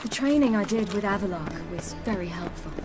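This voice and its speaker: An actress with a British accent playing a young adventurer in a fantasy game, speaking frankly with a quietly wry edge. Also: female voice, mid-range voice, animated, a little fast, over music, lightly acted. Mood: reflective. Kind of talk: game cutscene dialogue.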